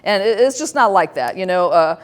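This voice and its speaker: A woman's voice: mid-range voice, lively intonation, moderate pace. A woman in her fifties hosting a talk show, talking candidly and joking at her own expense, with many fillers and restarts. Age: 50s. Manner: candid, self-deprecating, rambling with many fillers. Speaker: host. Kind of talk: casual talk show monologue.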